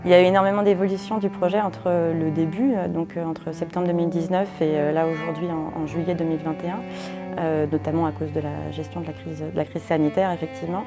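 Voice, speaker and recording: A woman, a project coordinator, calmly recounting how a project adapted over time in an interview. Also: deep voice, fast talker. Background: guitar music.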